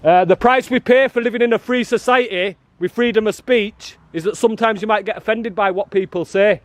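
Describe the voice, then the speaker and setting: Loud, blunt man. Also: high voice, fairly quick, no echo. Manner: loud, blunt and challenging, calls people "love" and "mate". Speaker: activist filming and confronting prison officers. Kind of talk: confrontational street filming with commentary to viewers. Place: outdoors outside a prison.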